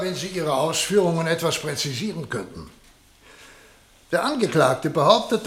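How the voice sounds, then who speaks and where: A man's voice: a little high, fairly bright tone. A man acting a formal court official in a German radio play, questioning in a courteous, precise way. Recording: roomy, reverberant courtroom sound.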